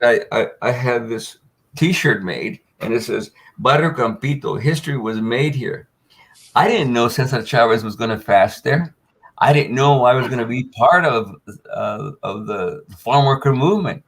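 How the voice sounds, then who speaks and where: An older man, telling a long personal story as a guest on a panel, with many fillers and restarts. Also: mid-range voice. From United States.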